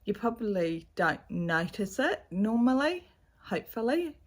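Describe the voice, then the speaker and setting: A middle-aged woman with a slightly croaky voice, chatting casually at home about her cross-stitch projects with a rambling, chatty manner, recorded on a camera's built-in mic. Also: medium pitch, big pitch swings, a little slow. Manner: chatty, rambling, with fillers and asides. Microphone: camera's built-in mic, no external microphone.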